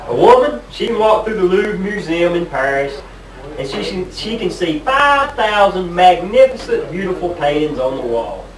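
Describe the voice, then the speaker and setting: A man's voice: high-pitched, big pitch swings, moderate pace, a crowd in the background. A man playing a fussy, complaining husband bickering comically in a stage sketch. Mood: wry. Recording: distant echoey hall, audience noise.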